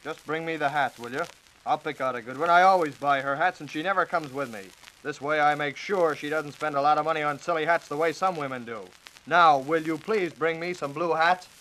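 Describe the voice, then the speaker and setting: A man's voice: fairly high pitch, fairly quick, sharp tone, low-quality recording. A man playing a brusque, stubborn husband out shopping in a 1940s radio comedy sketch. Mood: impatient, insistent. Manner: brusque, insistent. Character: impatient, stubborn husband shopping for wife's hat.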